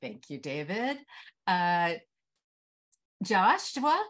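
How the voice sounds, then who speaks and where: A middle-aged woman hosts informally over a video call. She speaks slowly in a slightly low voice with very varied pitch as she introduces participants. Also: sing-song, a bit bright. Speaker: host introducing readers. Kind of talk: informal event hosting. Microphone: video call microphone.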